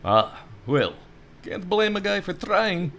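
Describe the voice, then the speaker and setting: A man's voice: high-pitched, sing-song, slow, fairly bright tone, a little hiss or hum, voice pushed up, exaggerated voice. Man doing a shady, easygoing knight, muddled accent.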